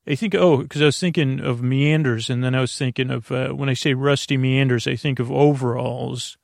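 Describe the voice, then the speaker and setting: A man with a gravelly, creaky voice at a middling pitch talks in a dry, close recording. He rambles reassuringly to the listener as a bedtime podcast host, halting, trailing off and circling back. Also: steady tone, a little mellow, no echo. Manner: reassuring, low-pressure, addresses the listener directly. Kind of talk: rambling bedtime monologue to help listeners fall asleep.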